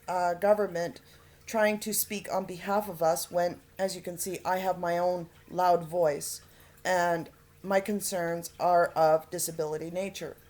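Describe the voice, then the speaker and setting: Halting earnest woman making an appeal. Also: fairly low pitch, a little mellow. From Alberta, Canada. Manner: halting, many fillers and pauses; earnest, pleading. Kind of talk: unscripted appeal to camera.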